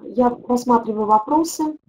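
A woman's voice: on the high side, moderate pace, a little mellow, rough recording. A middle-aged woman, a teaching methodologist, leading a webinar and answering viewer questions, thinking aloud with frequent hesitations.

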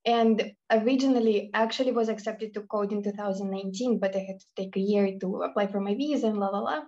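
A woman from Russia talks through her thoughts with eagerness, building up her points one after another. Her voice sits at a medium pitch, and the video call audio sounds dull and lo-fi. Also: quick pace, dark tone. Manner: enthusiastic, reflective. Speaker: student panelist. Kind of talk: casual remote panel answer.

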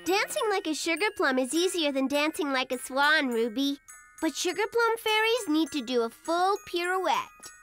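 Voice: high-pitched, expressive, moderate pace, a bit bright, soft background music. A performer voicing an eager, giggly young girl bunny in a children's cartoon, enthusiastic and agreeable. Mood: thoughtful.

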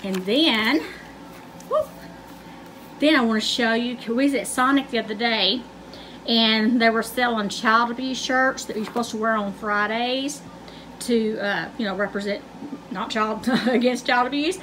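A woman's voice: on the high side, measured pace, a bit bright, poor audio. A woman, chatting to viewers in a casual vlog and calling them "y'all". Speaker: vlogger. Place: car interior, then at home. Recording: car noise behind voice.

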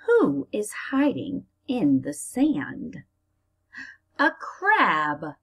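Casting: American woman, primary teacher doing a children's read-aloud, unhurried, with swooping intonation and some fry. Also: on the low side. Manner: slow, deliberate, expressive reading for young children. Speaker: elementary school teacher reading aloud to pupils.

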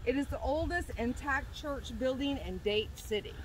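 A woman's voice: high voice, moderate pace, slight background noise, rough recording. A middle-aged woman gives casual, enthusiastic walking-tour commentary outdoors on a residential street, speaking close to a handheld camera. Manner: enthusiastic, fond and nostalgic. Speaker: vlog host and tour guide. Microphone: handheld camera at close range.

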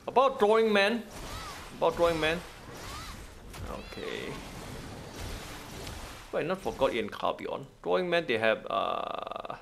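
A man's voice: mid-range voice, vocal fry, a bit bright, slight background noise. A man giving casual gameplay commentary in non-native, broken English, thinking aloud in short, repeated phrases. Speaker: gaming video host.

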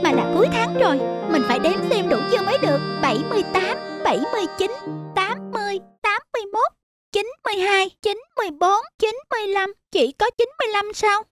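An actor voicing an earnest young boy in an animated cartoon, talking aloud to himself in a childlike way. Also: very high voice, fast talker. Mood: eager, then counting, focused. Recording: music behind the voice.

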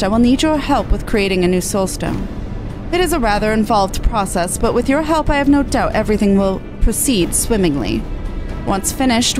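A woman's voice: on the high side, natural voice. A woman reading game dialogue as a haughty, wordy male scholar with formal, sarcastic airs. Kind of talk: game dialogue read aloud in character.

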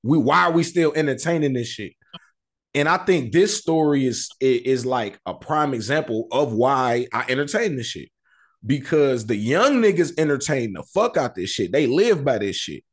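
A man's voice: medium pitch, animated, normal speed. A man, sounding middle-aged, in casual, slangy street news commentary with profanity, often checking that the listener follows. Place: indoor room, video call.

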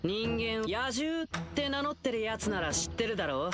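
A woman's voice: fairly high pitch, lively intonation, moderate pace, music in the background, lightly acted. A woman playing a bold, protective young boy hero in a video game, earnest and boyish. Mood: fierce, threatening. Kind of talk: Japanese video game voice acting.

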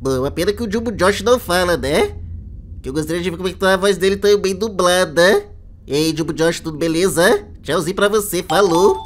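A man's voice: high voice, brisk, natural voice. A man with a Brazilian accent voicing a chatty, playful cartoon sponge who hosts reaction videos with exaggerated commentary. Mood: chatty, amazed. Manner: playful, exaggerated, addresses viewers as little friends. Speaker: host of a reaction channel. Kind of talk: casual reaction commentary for kids.

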